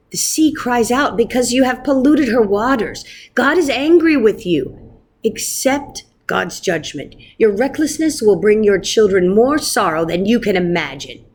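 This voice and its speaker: A woman voicing a stern, wise male wonder rabbi in a storybook, speaking in a grave, admonishing, deliberate manner. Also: on the high side, expressive, a little mellow, breathy edge, voice pushed up.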